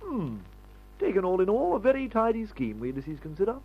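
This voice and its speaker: Silly-ass English flyer, upper-class accent, highish lively voice, drawling bluster, old radio serial. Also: male voice, brisk, slightly rough, exaggerated voice. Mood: jaunty, complacent. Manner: drawling, blustering, peppered with old-fashioned slang. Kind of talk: old-time radio adventure serial acting. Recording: dull, muffled old radio recording.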